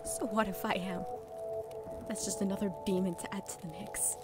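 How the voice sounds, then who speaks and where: A woman voicing a young female pony heroine with a dark past, speaking in a subdued, earnest way in a fan audio drama. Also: mid-range voice, steady tone, normal speed, loud music behind the voice, close to an everyday voice. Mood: bitter.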